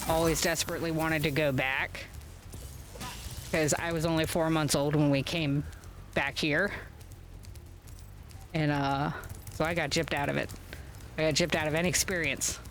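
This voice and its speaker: Slow-talking woman chatting. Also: deep voice, a little hiss or hum. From United States. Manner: rambling, unhurried, with frequent fillers and chuckles. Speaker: gaming streamer host. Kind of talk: casual chat while playing a game. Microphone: webcam setup.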